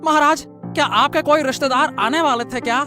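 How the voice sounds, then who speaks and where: A man playing an excitable, chatty, humble fisherman in a Hindi story drama, often repeating his words. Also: very high-pitched, moderate pace, faint music behind. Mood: deferential, urgent. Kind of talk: Hindi moral-story audio drama acting.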